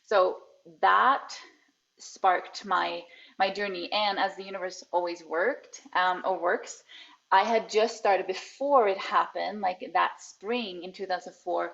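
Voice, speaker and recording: A woman's voice: medium pitch, steady tone, slow, vocal fry, a bit bright. A woman in her forties, a coach and healer, telling her personal story as a podcast guest, reflective and laughing often mid-sentence. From Sweden. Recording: dull lo-fi audio.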